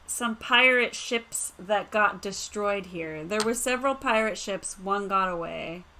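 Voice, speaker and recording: A woman's voice: medium pitch, a little slow, sharp tone, low-quality recording. A woman playing a tabletop roleplaying game, talking casually and reacting to the game master.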